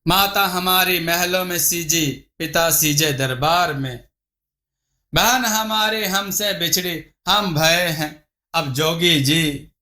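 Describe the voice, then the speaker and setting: A wandering folktale prince in a yogi's guise, played by a male storyteller, chants mournful verse. His high, bright voice draws the words out slowly. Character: wandering prince disguised as yogi, mournfully seeking his sister.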